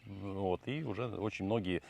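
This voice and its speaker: Middle-aged man from Tajikistan, vlog co-host, very low voice, measured and hesitant. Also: vocal fry, darkish tone. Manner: measured, hesitant, thinks aloud. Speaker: channel co-host. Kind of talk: casual conversational vlog.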